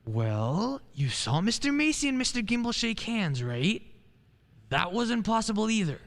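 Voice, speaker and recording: A man's voice: sits high, very animated, measured pace, exaggerated voice. A man playing an earnest, idealistic defense lawyer in a radio play, pleading in an eager, theatrical way. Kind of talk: stage-style radio play acting. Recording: long hall-like reverb.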